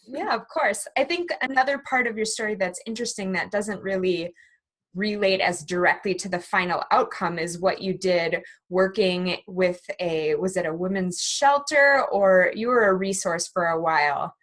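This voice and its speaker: A woman's voice: medium pitch, moderate pace, a bit bright. A woman hosting a podcast in an upbeat, chatty way, affirming her guest and asking follow-up questions. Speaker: podcast host. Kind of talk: casual interview hosting.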